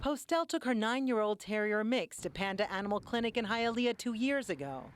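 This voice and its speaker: Higher-voiced woman reporting TV news. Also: expressive, a little fast, slight background noise. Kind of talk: scripted news report narration.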